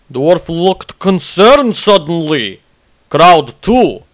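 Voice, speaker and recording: A man's voice: high voice, a bit bright, voice pushed up, full character voice. A man voicing a blunt, earnest bodyguard who speaks broken English in a dramatised fantasy diary. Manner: broken English with dropped articles. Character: burly, loyal bodyguard from Kislev, blunt and earnest.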